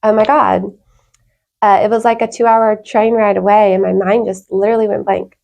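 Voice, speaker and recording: A woman's voice: medium pitch, little pitch movement, normal speed, vocal fry, highs cut off. A woman guest on a talk show, telling her own story in an earnest, emphatic, conversational way. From Wales.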